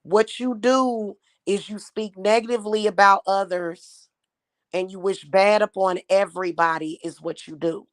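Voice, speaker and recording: A woman in her thirties with a slightly hoarse voice at a middle pitch, talking casually to her viewers. She sounds aggrieved and repeats herself, with plenty of fillers. The sound is close and dry, with no room echo. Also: fairly even intonation, normal speed. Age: 30s. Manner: aggrieved and repetitive, with frequent fillers. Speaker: spiritual YouTuber and witch. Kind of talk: casual talk to camera viewers.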